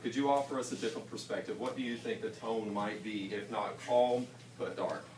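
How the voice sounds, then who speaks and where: A male English teacher leading a class discussion in a brisk, questioning way. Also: on the low side, noisy background. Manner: brisk, questioning, plays off students. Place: school classroom. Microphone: camera across the room. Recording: roomy classroom from far off.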